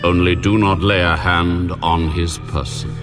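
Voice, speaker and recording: Almighty deity in scripture drama. Grave, authoritative, deep and unhurried. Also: male voice, level tone, over music. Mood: stern, commanding. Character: God, solemn, commanding, all-powerful male deity.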